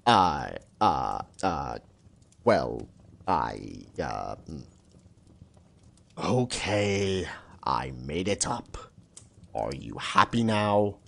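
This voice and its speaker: Man playing a deep, pompous cartoon echidna warrior. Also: very animated, drawn-out words, vocal fry, long pauses, fairly bright tone, heavily acted. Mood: flustered. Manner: pompous, stilted formal speech. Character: boastful, easily scared male echidna warrior. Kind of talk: fan-film cartoon acting.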